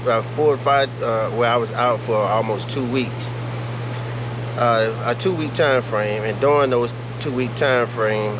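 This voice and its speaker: A man vlogging casually about his vehicle project, talking in a rambling way with lots of filler sounds and restarts. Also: mid-range voice. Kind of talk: casual talk to camera. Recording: noisy, with steady hum behind.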